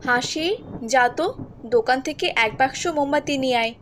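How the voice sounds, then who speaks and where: A woman's voice: high-pitched, normal speed, background noise, close to an everyday voice. A woman voicing a cruel village stepmother in a cartoon, bossy, snapping and sarcastic as she scolds. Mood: harsh.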